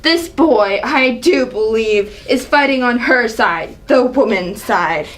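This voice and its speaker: A woman acts out a proud, angry king from Greek tragedy. The voice sits fairly high and carries imperious indignation. It is a dramatic reading of a father berating his son. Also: animated, takes it slowly. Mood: accusing.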